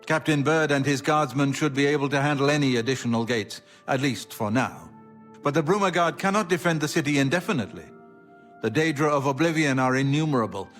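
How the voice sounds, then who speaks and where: An actor playing a grave, dutiful grand master of a knightly order in a video game, measured and formal. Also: male voice, medium pitch, fairly bright tone, soft background music, lightly acted. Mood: grave, urgent.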